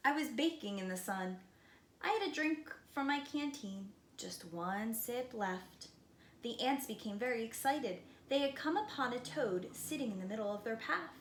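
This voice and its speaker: A woman with a high, lively, swooping voice reads a children's story like a preschool teacher. She leans into dramatic emphasis and drops to hushed tones for suspense. The room sounds echoey. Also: moderate pace. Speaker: preschool teacher reading a picture book aloud. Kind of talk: expressive children's read-aloud. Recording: roomy echo, light background noise.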